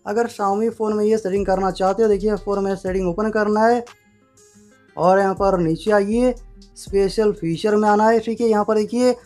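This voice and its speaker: High-pitched man giving a tutorial. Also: steady tone, normal speed, darkish tone. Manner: instructional, repeats stock phrases. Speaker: tech tutorial presenter. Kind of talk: step-by-step phone tutorial narration. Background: faint music.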